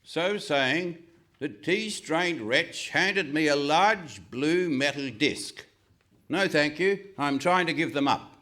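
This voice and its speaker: A man playing a pompous, excitable, gullible investigator hero, declaiming melodramatically in a live stage radio comedy. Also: fairly high pitch, slow pace. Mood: officious. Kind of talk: Goon Show style radio comedy acting. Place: live stage performance in a hall.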